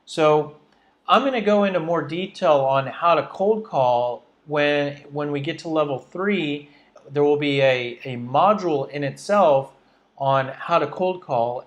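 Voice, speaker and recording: Higher-voiced man teaching a training session. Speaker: sales trainer and webinar presenter. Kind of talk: instructional slide presentation, explaining step by step.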